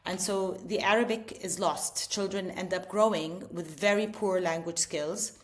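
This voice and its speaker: Woman, middle-aged, somewhat low voice, earnest explanatory tone, frequent "you know" and restarts, interview guest. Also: steady tone, a little slow. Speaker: edtech founder, interview guest. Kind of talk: casual interview conversation.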